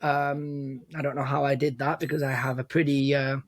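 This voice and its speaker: A man's voice: mid-range voice, flat delivery, moderate pace, dry recording. A man in his forties from Italy, talking casually as a guest DJ in an interview, with frequent restarts, fillers and laughter. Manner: hesitant, many restarts and fillers, laughs often. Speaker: guest DJ and producer.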